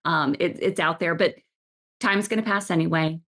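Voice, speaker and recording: A woman's voice: on the low side, normal speed, fairly bright tone. Effusive woman podcast host. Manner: effusive, gushing praise, frequent restarts. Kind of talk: enthusiastic interview chat.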